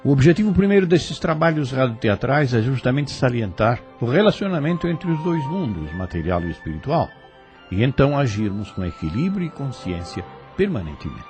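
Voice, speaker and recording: A man's voice: medium pitch, sing-song, fairly quick, a little mellow. A Brazilian man giving formal, didactic spiritual commentary from a script. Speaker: commentator explaining the drama. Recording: faint music behind voice.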